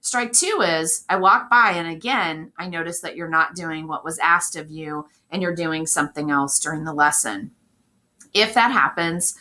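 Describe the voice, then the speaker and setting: A woman with a hoarse, worn voice runs a recorded training session for teachers, and her tone is bright and forceful. She sits a little low in pitch and keeps things chatty, stopping now and then with "okay?" to check everyone is following. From Indiana, US. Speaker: district technology integration specialist. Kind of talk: recorded professional development talk.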